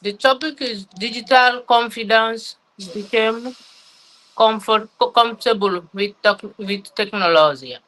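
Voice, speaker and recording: A woman from West Africa speaking on a live online talk over a video call, loud and a little halting, somewhat distant from the mic. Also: on the high side. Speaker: panelist.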